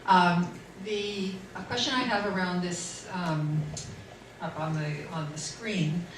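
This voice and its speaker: A woman's voice: on the low side, fairly even intonation, takes it slowly. An older woman, a local councillor, speaking at a community meeting in a friendly, slightly hesitant way and asking questions. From Canada. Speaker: municipal councillor. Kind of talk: public meeting remarks. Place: community hall. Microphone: distant room mic. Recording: echoey hall from far off, noisy.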